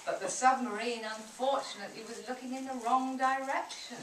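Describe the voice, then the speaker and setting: A woman's voice: high-pitched, steady tone, brisk, fairly bright tone. A woman telling a children's story live at a puppet show, using simple repeated phrases and speaking to the children. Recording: distant mic, echoey noisy room.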